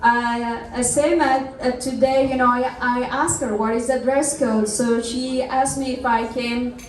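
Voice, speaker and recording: A woman from Mexico, a film producer, giving a thankful speech at an event, measured and pausing often with fillers. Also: high-pitched, steady tone, a little hiss or hum. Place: large hall at a festival. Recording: echoey hall, mic far off.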